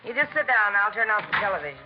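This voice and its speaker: Chatty, folksy American housewife played by an actress. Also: female voice, mid-range voice, sing-song, very fast, close to an everyday voice. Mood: chatty, enthusiastic. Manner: talkative, folksy, blunt. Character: chatty, nosy, working-class housewife, television fan. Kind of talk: 1950s radio drama acting. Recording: muffled vintage radio recording, faint noise.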